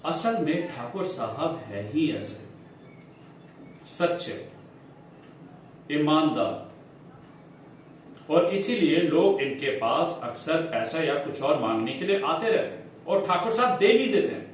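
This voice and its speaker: A man's voice: fairly high pitch, sing-song. Man acting an insinuating defence lawyer, very echoey room. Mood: persuasive, insinuating. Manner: formal, emphatic, with deliberate dramatic pauses. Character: smooth, insinuating male defence lawyer arguing in court. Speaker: acting student performing a monologue. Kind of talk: theatrical courtroom monologue in Hindi with English phrases. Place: indoor acting class room. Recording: echoey room, distant mic, faint noise.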